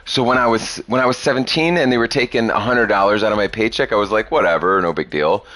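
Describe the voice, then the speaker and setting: A man's voice: fairly low pitch, quick pace, a bit bright, dry recording. A man in his thirties talking bluntly and profanely in a rambling casual podcast chat. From Chicago area. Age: 30s. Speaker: podcast host.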